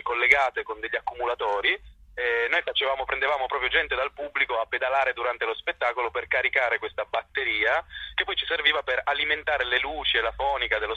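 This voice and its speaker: A man's voice: medium pitch, bright, forceful tone, breathy edge, no echo. A man speaking casually as a theatre performer interviewed on radio, rephrasing as he explains. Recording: dull, dry radio or phone-line sound.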